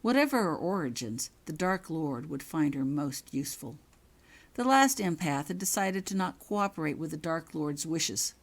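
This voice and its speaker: A woman narrates a scripted story as its author. Her voice sits low and moves expressively through a wide range. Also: very animated, moderate pace, gravelly. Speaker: author reading own fan fiction.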